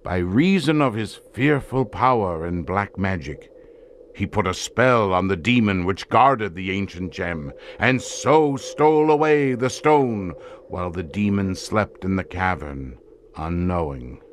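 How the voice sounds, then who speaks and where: A man's voice: low-pitched, expressive, measured pace, a little hiss or hum. An actor playing a grave desert tribesman who solemnly tells of an ancient curse in archaic, portentous language for an audio drama. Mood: dramatic, intense. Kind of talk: theatrical audio drama storytelling.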